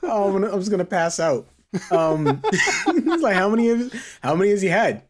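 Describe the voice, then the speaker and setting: A man hosting a casual, joking podcast chat, who talks haltingly, repeats his words and chuckles as he goes. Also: sits high, expressive. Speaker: podcast host. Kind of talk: casual comedic panel chat.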